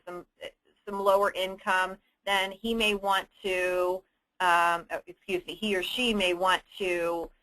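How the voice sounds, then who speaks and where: A woman hosting a conference call briefing, answering submitted questions in an explanatory, businesslike and somewhat halting manner. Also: fairly low pitch, bright, forceful tone, low-quality recording. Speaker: host of an insurance agents' conference call. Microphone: telephone conference line.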